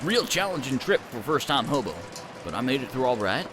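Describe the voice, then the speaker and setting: A man's voice: medium pitch, big pitch swings, brisk, music in the background, pitched below the performer's own voice, close to an everyday voice. A man voicing a cheerful, folksy male hobo with an easygoing country drawl.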